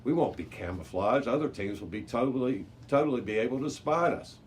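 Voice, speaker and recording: A man's voice: mid-range voice, lively intonation, brisk, pitched above the performer's own voice, natural voice. A man voicing a cautious boy in a children's storybook, in a questioning way. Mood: worried. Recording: noisy amateur recording with background hiss.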